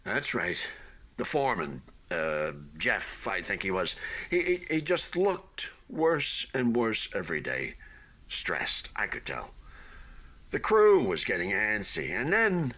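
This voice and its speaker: A man's voice: on the low side, wildly swooping pitch, takes it slowly, sharp tone, heavily acted. Man playing a wildly swooping, wistful abandoned hotel. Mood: rueful, resentful. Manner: chatty, hesitant, earnestly philosophical. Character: abandoned, unfinished luxury hotel building, wistful and defensive. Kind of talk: radio play acting, conversational monologue.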